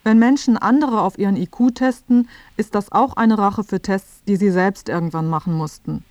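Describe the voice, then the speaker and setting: A woman's voice: medium pitch, normal speed, darkish tone, dry recording. A woman reading scripted narration for a radio feature. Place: dry studio.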